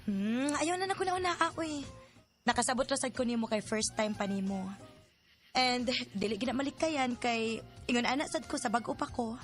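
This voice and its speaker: An actress with a Filipino Cebuano accent playing a streetwise, teasing, chatty veteran bar worker in an audio drama. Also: female voice, a little high, animated, moderate pace, natural voice. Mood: teasing, advising. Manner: chatty, teasing, interjection-heavy. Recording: light music under voice.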